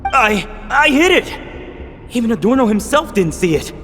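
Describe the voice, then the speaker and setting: An actor playing an embittered, weary experimenter in a video game, suspicious and wounded, speaking in a halting, dramatic way. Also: male voice, very high-pitched, big pitch swings, sharp tone, full character voice. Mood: shaken, suspicious. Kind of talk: video game character acting. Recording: long reverb with music behind.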